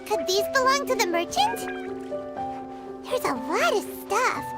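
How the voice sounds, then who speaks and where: A woman voicing a tiny, excitable fairy girl companion in a video game, squeaky and childlike with a sing-song delivery. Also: unhurried, over loud music, exaggerated voice. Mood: curious. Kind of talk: video game character voice acting.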